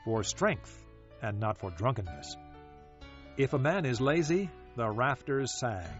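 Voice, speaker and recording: Man acting as a deep-voiced solemn ancient sage. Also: natural voice. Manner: measured, expressive, declamatory. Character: solemn, reflective ancient male sage giving counsel. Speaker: audio Bible reader. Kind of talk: dramatized scripture reading. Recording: dull, lo-fi audio under music. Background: music.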